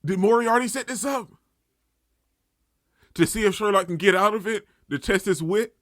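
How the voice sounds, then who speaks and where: A man giving casual, off-the-cuff reaction commentary, thinking out loud as he goes. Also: sits high, normal speed, no echo, clean studio sound. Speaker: anime reaction host.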